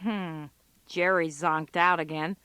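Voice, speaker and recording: A woman's voice: on the low side, steady tone, takes it slowly, sharp tone, smooth, no echo, soft background music. Lady playing sardonic office computer gal in a radio comedy, muttery n fed up, super dry sound. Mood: wry, musing. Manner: wry, muttering asides. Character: Liz, sardonic, exasperated female office computer worker. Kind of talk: comic radio drama acting.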